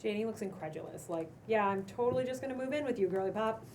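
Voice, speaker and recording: A woman's voice: mid-range voice, animated, quick pace. A woman reading stage directions as scripted narration at a casual table read. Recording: distant room mic, clear background noise.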